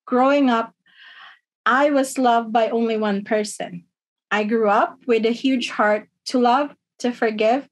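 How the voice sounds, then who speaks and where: Earnest middle-aged woman, slightly high voice. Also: low-quality recording. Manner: earnest, measured, slightly halting delivery. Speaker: union member. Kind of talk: personal testimony read aloud. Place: dim room at home. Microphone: webcam on a video call.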